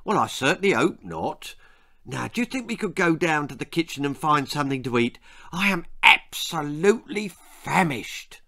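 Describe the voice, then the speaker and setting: A man's voice: a little high, sing-song, normal speed, gravelly, pushed and bright, heavily acted. A man voicing a shrunken, crinkly, very old man in a children's storybook, blustery and given to quaint exclamations. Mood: cheery, blustery. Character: shrunken, crinkly, indignant ancient old man with long beard.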